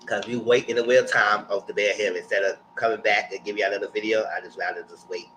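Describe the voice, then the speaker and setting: A man's voice: on the low side, fairly even intonation, fast, low-quality recording. A man hosting a livestream with casual, informal commentary, speaking directly to his viewers. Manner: informal, addresses viewers as y'all. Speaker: livestream host. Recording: loud background noise and hum.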